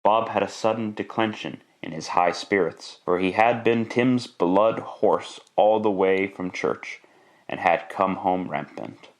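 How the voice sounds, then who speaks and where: A man's voice: low-pitched, unhurried. A man narrating a classic radio drama in a theatrical, emphatic storytelling style.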